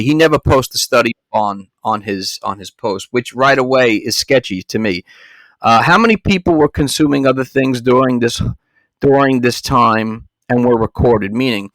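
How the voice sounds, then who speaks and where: A man's voice: mid-range voice, no echo. A man hosting his own podcast, talking in a fired-up, stop-and-start way, often repeating words as he restarts a thought. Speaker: podcast host. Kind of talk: casual solo podcast rant.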